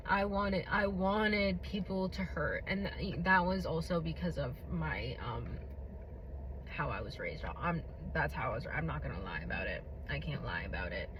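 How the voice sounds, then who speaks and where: Slow, blunt woman with a booming voice. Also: pushed and bright, a little hiss or hum, rough recording. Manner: blunt, candid, unfiltered, with many fillers. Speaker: podcast host. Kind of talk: personal testimony, casual monologue.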